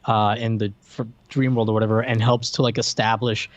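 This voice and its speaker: A man's voice: fairly low pitch, flat delivery, fairly quick, no room sound, highs cut off. A man co-hosting a casual film discussion, recounting a plot in a rambling, conversational way full of "like". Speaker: podcast co-host.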